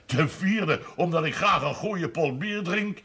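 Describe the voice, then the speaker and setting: A man's voice: on the high side, animated, a little slow, breathy edge, heavily acted. A middle-aged man playing a blunt, shrewd village businessman in a television period drama. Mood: firm, resolute. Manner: emphatic, plain-spoken, forceful. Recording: faint background noise on TV audio.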